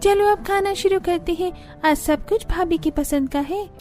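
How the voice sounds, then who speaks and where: Woman voicing a very high-pitched obliging young woman. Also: normal speed, darkish tone, faint music behind. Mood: caring, eager.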